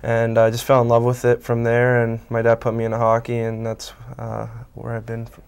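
A man's voice: mid-range voice, brisk, gravelly, clean tone. A young man in his twenties from Canada, a college hockey player answering interview questions casually in a small TV studio, with lots of "um" and "I mean.". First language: Italian. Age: 20s. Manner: relaxed, many fillers like um and I mean. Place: small local TV news studio, indoors. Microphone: lapel mic.

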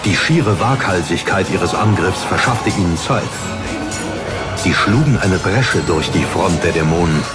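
A man narrating a fantasy audiobook in a dramatic, solemn, deliberately phrased style. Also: low-pitched, lively intonation, loud music behind the voice.

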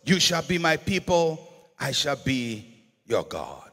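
A Ghanaian man, an older pastor, preaching emphatically from a church auditorium stage into a handheld microphone, slowing to stress key words. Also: mid-range voice, very animated, sharp tone, husky. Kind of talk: sermon. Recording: long auditorium reverb.

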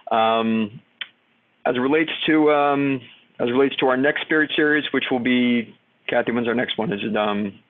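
A man in his thirties hosting an online company session from his office, speaking haltingly with many fillers. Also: medium pitch. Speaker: host. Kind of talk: meeting introduction. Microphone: video call. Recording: tinny phone-like call audio.

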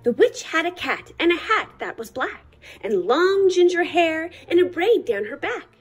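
A woman reading a rhyming picture book in an expressive, dramatic, rhythmic children's storytime style. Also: extremely high pitch, measured pace.

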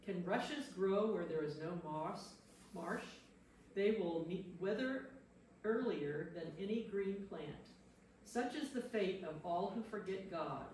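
A woman's voice: mid-range voice, expressive, slow, a little mellow. A woman playing a blunt friend who scolds in defense of God, reading scripture dramatically and emphatically. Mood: scolding. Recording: echoey room, distant mic, faint noise.

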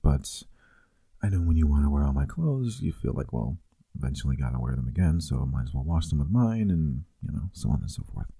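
A man's voice: very deep voice, very animated, brisk, gravelly, dark tone, husky, no echo, exaggerated voice. A man playing a teasing, affectionate boyfriend in an intimate, casual ASMR roleplay, murmuring playfully.

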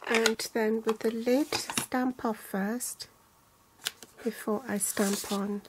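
Calm older woman demonstrating card crafting. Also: a little high, noisy background. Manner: calm, measured, reads out sizes in inches and centimeters. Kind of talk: step-by-step craft tutorial.

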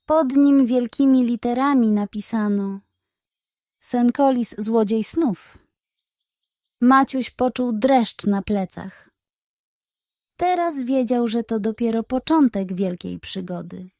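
Mellow, unhurried woman reading bedtime stories. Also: on the high side, animated, no echo, clean studio sound. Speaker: narrator of children's audio stories. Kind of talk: scripted bedtime story narration.

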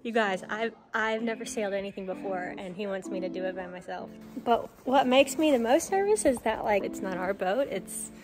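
A woman's voice: medium pitch, a little fast, few pauses, music in the background. A young woman vlogging casually to a phone at arm's length, bubbly and laughing nervously as she talks. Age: young adult. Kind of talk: casual vlog chat to camera. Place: outdoors on a lake, on a small sailboat.

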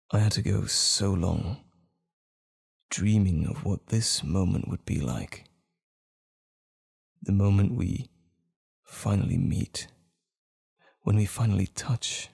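A man playing a tender, smitten date in an intimate romantic ASMR roleplay, speaking gently and hesitantly with lingering pauses, close to the microphone. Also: deep voice, vocal fry, slightly rough, full character voice. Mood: adoring, romantic. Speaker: audio roleplay performer.

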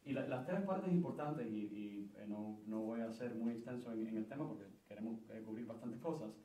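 A young man speaks at a conference panel table and answers a question at length, stumbling over fillers and restarting his phrases. His voice sits at an ordinary pitch. It carries the hollow ring of the room and sounds a little distant from the microphone. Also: brisk, dark tone. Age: young adult. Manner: hesitant, many fillers and restarts. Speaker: book co-author on a panel. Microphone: table stand mic. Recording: roomy, distant from the mic.

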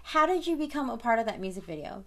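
A woman's voice: on the high side, big pitch swings, quick pace, fairly bright tone. A woman in her thirties hosting an entertainment TV show, asking questions from a studio set with bubbly enthusiasm. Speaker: host. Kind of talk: entertainment TV interview.

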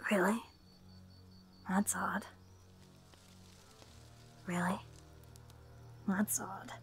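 A woman playing a cautious, level-headed captain of explorers in an audio drama, speaking in a measured, commanding way. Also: medium pitch, little pitch movement, gravelly, fairly bright tone, soft background music, exaggerated voice. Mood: hushed.

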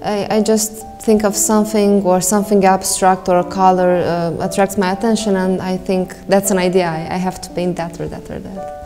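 Casting: female painter, 20s, Romanian accent, soft-edged dark timbre, reflective interview in an echoing hall. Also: medium pitch, flat delivery, normal speed, few pauses. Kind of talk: casual documentary interview. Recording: long hall reverb. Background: music.

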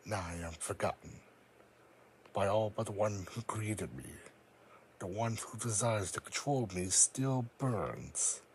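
Man voicing a deep, slow, brooding creature. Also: lively intonation, breathy edge, full character voice. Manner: solemn, deliberate, dramatic pauses. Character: brooding cloned psychic creature, proud bitter male outcast. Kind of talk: scripted ASMR audio roleplay monologue.

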